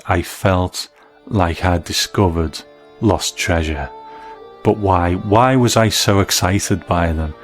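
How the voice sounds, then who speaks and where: Deep-voiced man narrating over faint music. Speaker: host and interviewer. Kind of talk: scripted documentary narration with interview questions.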